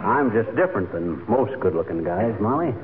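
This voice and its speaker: An actor playing a boastful, lazy husband with an American accent, wisecracking folksily in 1940s radio comedy. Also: male voice, lowish voice, animated, moderate pace, over a noisy crowd, close to an everyday voice. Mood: blustery boasting. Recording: tinny, noisy old radio recording.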